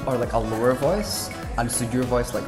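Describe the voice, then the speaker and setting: A young man in his early twenties co-hosting a podcast, loud and wry, tossing in dry jokes. His mid-pitched voice carries a long cafe reverb, with music playing behind him. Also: normal speed. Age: young adult. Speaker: podcast co-host. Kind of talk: casual chat. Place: busy cafe.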